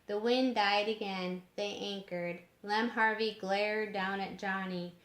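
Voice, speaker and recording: Slow-reading woman storyteller in an echoey room. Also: medium pitch, steady tone, a bit bright. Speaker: storyteller reading a book aloud. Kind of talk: storybook narration. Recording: roomy echoing home recording.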